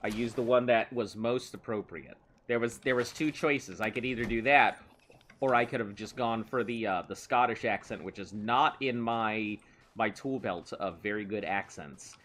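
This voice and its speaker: A man's voice: on the low side, normal speed, a bit bright. A man giving casual, playful commentary while playing a video game, rambling and chatting with someone in the room beside him. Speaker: let's play host.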